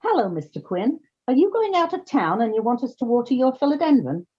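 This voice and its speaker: An actress playing a brisk, wry woman who runs an odd job agency in an old-time radio comedy. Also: fairly high pitch, big pitch swings, mellow, breathy edge. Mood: wry, businesslike. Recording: slightly dull, muffled sound.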